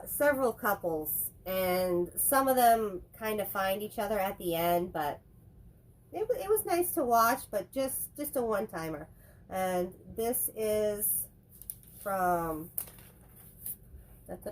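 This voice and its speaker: A woman's voice: mid-range voice, lively intonation, poor audio. A woman with a head cold co-hosting a casual chat about movies, rambling and joking as she talks. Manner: rambling, chatty, quick to joke. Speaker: co-host.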